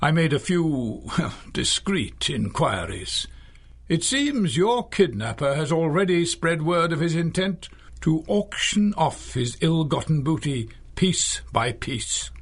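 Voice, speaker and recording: An old masked magician, long retired from crime fighting, for a radio adventure. His voice is husky and a little high for a man. He speaks slowly and formally, with theatrical flourish and dry wit. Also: very animated, exaggerated voice. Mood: measured, reflective. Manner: theatrical, dryly witty, formal. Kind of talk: 1930s-style radio drama acting.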